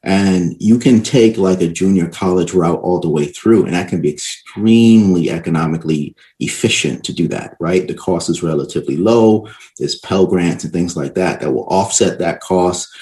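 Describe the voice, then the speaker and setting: Very deep-voiced man explaining in conversation. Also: normal speed, mellow. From Barbados and New York City. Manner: emphatic explainer, frequently tags points with "right?". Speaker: interview guest, education and economics expert.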